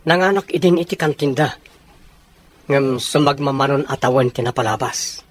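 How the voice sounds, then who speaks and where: An actor playing a soldier chatting easily with a comrade in a radio drama, with an Ilocano accent. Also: male voice, mid-range voice, normal speed, natural voice. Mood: reflective.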